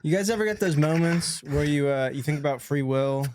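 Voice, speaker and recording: A man's voice: a little high, fairly quick, background noise. A man on a comedy podcast who sets up jokes hesitantly, trailing off. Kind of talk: improvised comedy riffing.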